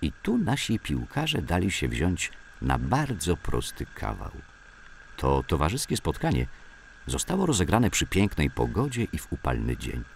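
A man's voice: a bass voice, wildly swooping pitch, measured pace, gravelly, slight background noise. A man reads scripted memoirs as a documentary narrator. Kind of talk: scripted documentary narration of sports-club recollections.

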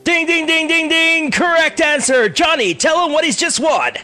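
A man's voice: very high-pitched, normal speed, sharp tone. A man playing a sarcastic, anxious teenage boy in a fan audio drama, with an emphatic delivery. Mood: mocking.

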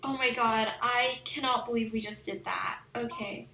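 Young woman, highish voice, slangy and excitable let's play chat, roomy echo, slightly distant microphone. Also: moderate pace, a little hiss or hum. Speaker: video game streamer and channel host. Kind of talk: casual let's play commentary.

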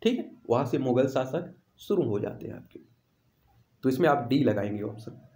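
A man's voice: medium pitch, animated, moderate pace, darkish tone. A man teaching exam questions in an instructional way, repeating questions and answers for emphasis, with an echoey room sound. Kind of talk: instructional quiz walkthrough.

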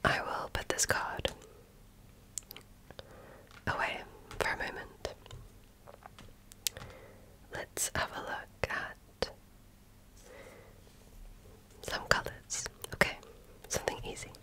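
A woman whispering in ASMR style as she role-plays a medical examiner, gently asking questions in short, measured phrases. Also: rough recording.

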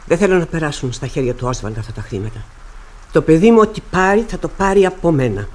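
An actress playing a stern, embittered widowed mother in radio theatre, speaking gravely and deliberately. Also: sits low, animated, darkish tone, slight background noise. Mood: bitter, resolute. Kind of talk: classic Greek radio theatre acting.

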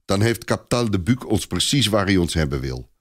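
A man playing a gruff, stubborn knight commander in a story, snapping and grumbling. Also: low voice, big pitch swings, brisk.

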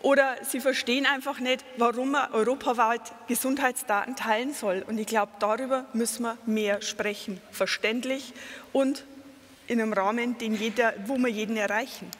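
A middle-aged woman from Lower Bavaria with a Bavarian accent, a patient advocate giving a conference talk on a headset mic, earnest and explanatory, with many fillers and self-corrections. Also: fairly even intonation, normal speed, in a large hall. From Lower Bavaria, Germany.

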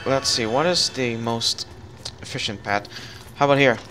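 A man's voice: mid-range voice, moderate pace, bright, forceful tone, slight background noise. A man casually chatting while co-op gaming, thinking aloud and guiding his partner. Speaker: let's play host. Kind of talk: casual co-op gameplay chat.

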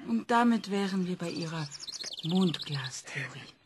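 A woman's voice: fairly low pitch, animated, unhurried, noisy background. A woman playing a skeptical journalist in a radio drama, asking probing questions.

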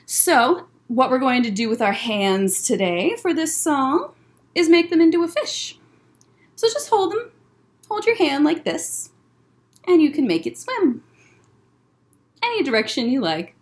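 A young adult woman hosting a children's story time, explaining things simply as she chats and reads aloud. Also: high-pitched. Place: library room with bookshelves, indoors. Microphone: webcam or laptop at desk distance.

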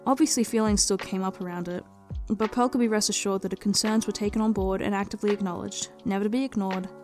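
A woman's voice: medium pitch, steady tone, quick pace, darkish tone. A woman narrating her own written story as a dramatic reading, in the manner of a storyteller. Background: background music.

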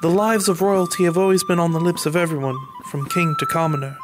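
A man's voice: a little high, steady tone, fast talker, music in the background, lightly acted. A man playing an earnest, humble medieval peasant farmer in an audio drama, with a pleading, hesitant manner. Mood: solemn. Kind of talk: audio drama acting and narration.

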